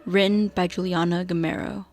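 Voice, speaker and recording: A woman's voice: on the low side, fairly even intonation, moderate pace, soft background music. A woman delivering scripted narration for a radio drama.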